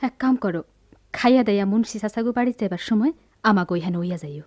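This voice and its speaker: An actress as a poor young village woman in a radio play. The tone is soft and dark at an average female pitch, with frank, folksy phrasing in a rural eastern Bengali dialect from Bangladesh. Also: fast talker, close to an everyday voice. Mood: hesitant, familiar. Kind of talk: Bengali radio drama acting.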